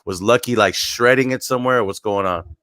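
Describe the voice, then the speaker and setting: Deep-voiced man, playful and stammering. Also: brisk, fairly bright tone, dry recording, clean studio sound. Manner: stammering, playful, restarts phrases. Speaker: co-host. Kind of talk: casual interview chat.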